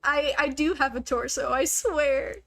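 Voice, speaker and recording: A woman's voice: sits high, steady tone, a little slow, gravelly, fairly bright tone. A woman livestreaming a game, chatting casually with viewers in a joking, self-deprecating way. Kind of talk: casual rambling chat with viewers.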